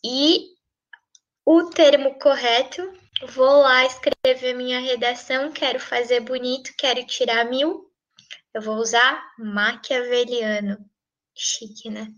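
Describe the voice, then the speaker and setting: Brazilian woman teacher, high and slow, gentle advice with light humor. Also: steady tone, lo-fi sound. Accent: Brazilian Portuguese. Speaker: teacher giving writing tips. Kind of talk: online class.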